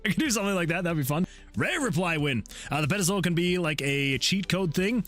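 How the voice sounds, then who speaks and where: Higher-voiced man chatting excitably over music. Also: brisk. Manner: excitable, rambling, thinking out loud, chuckles mid-sentence. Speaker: indie game developer hosting a livestream. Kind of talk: casual chat with stream viewers while working. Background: background music.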